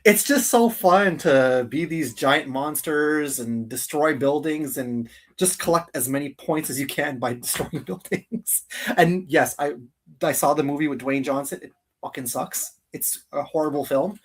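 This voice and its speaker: A man's voice: fairly high pitch, a little slow. A man hosting a casual geek countdown stream, talking in an informal, emphatic, opinionated way. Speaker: host of a geek countdown livestream.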